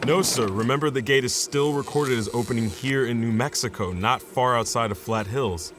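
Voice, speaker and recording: A man's voice: mid-range voice, normal speed, a bit bright, close to an everyday voice. An actor playing a cautious, sceptical male scientist in an audio drama, with a dry, precise manner. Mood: matter-of-fact. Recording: noisy outdoor urban ambience.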